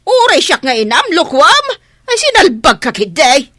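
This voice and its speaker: A woman's voice: sits high, very animated, normal speed, no echo, clean studio sound, heavily acted. A woman acting an exasperated, nagging village mother in an Ilocano radio drama. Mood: scolding, exasperated. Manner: sharp, nagging.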